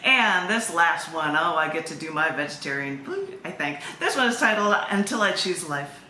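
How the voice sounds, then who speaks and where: Female poet and open mic host, bright forceful voice, mid pitch; live reading in an echoey room with faint noise. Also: big pitch swings, moderate pace. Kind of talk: poetry reading with chatty introductions.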